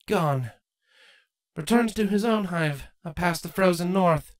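A woman voicing a stern changeling queen and mother in a storybook reading, measured, formal and commanding. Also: on the low side, pitched above the performer's own voice, lightly acted. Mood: strained warmth.

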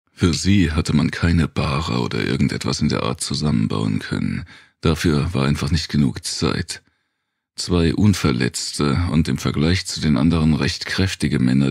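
Very deep, creaky male audiobook narrator. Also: dry recording, clean studio sound. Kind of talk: scripted first-person narration of a dark post-apocalyptic thriller.

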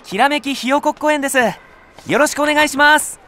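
A man's voice: very high-pitched, brisk, bright, forceful tone, slight background noise. An actor playing an earnest, clumsy young male nursery teacher in an audio drama, with a polite, easily flustered manner. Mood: eager calling out. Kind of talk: Japanese audio drama acting.

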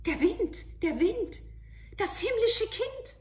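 A woman puts on an innocent little child from a fairy tale. The voice is high, eager and excited, exclaiming with wonder in a roomy space. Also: slow, full character voice. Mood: playful. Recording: roomy home recording.

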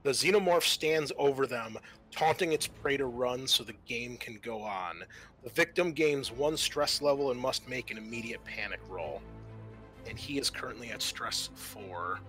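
A man acts as game master for a tabletop horror game, with music behind him. His voice is bright and forward at an average pitch. He improvises descriptions informally and swears now and then. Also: normal speed. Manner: informal, descriptive, salty language.

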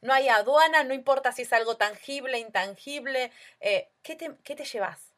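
An Argentine woman hosts a podcast interview, leading her guest with relaxed, conversational questions. Her voice sits fairly high. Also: normal speed. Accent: Argentine Spanish. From Argentina, Comodoro Rivadavia. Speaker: podcast host.

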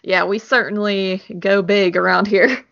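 A woman's voice: medium pitch, steady tone, unhurried, vocal fry, a bit bright. A woman from the United States co-hosting a film podcast with laid-back, casual chat. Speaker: podcast co-host. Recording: dull, lo-fi podcast audio.

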